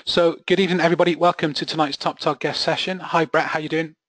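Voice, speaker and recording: A man hosting a live online session. He talks quickly and chattily, with plenty of "you know"s and "uh"s. His voice sounds dry and close on a computer mic. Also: fairly high pitch, fairly even intonation, a bit bright, dry recording. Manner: chatty, friendly with fillers. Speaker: webinar host.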